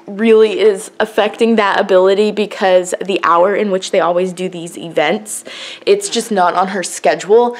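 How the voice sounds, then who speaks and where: A young woman chatting casually and ramblingly to camera at home, in the manner of a family vlogger. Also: medium pitch. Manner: rambling, chatty self-narration. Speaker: family vlogger, mother of a baby. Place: at home, indoors. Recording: clearly noisy home recording with clicks.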